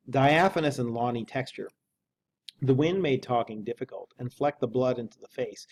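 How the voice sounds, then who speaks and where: A man's voice: mid-range voice, creaky, darkish tone. A man speaking as an English teacher, reading a novel aloud and explaining it informally.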